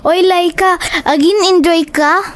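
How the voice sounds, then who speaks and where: A woman acts a gentle schoolgirl friend in an audio drama. The voice is extremely high and slow, sweet and reassuring. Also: steady tone. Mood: friendly. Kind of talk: Ilocano audio drama acting.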